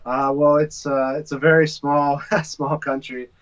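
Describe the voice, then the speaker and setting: A man chatting casually as an interview guest, hesitant and halting as he feels for his words. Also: on the high side, flat delivery. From born in Bulgaria, raised in Canada from age six. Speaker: interview guest, small business owner. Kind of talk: casual chat. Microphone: video call. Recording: dull, lo-fi video call.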